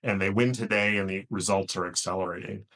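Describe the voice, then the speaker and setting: An American man speaking as an executive guest in an interview, earnest and measured, often rephrasing as he explains. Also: on the low side, fairly even intonation, fairly bright tone. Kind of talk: thoughtful interview answer.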